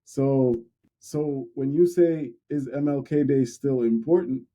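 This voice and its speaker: A young man co-hosting a podcast, talking in a relaxed, candid way and repeating words while he thinks. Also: mid-range voice, takes it slowly. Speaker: podcast co-host. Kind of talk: casual podcast discussion.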